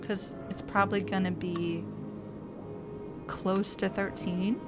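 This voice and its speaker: A woman's voice: mid-range voice, little pitch movement, slow. A woman streamer casually thinking aloud while playing a game and reading text off the screen. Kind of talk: casual let's-play commentary. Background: game music.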